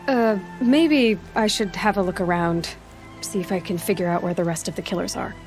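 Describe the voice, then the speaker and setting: A woman's voice: medium pitch, a little mellow, natural voice. A fearless young outcast huntress, voiced by a woman, plainspoken with a dry edge. Her mid-range voice stays level and sure over faint game music. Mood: determined. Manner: direct, dry, quick-witted. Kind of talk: video game cutscene acting.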